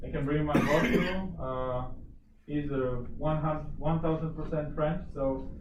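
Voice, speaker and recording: A man from Romania speaks English haltingly, leaning on fillers and small laughs. He is heard from across a roomy meeting hall, faint hiss behind. The sound is dull and distant. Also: fairly high pitch, steady tone. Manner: hesitant, many fillers, laughs often. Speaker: visiting company manager. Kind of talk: public comment at a meeting. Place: village board meeting room.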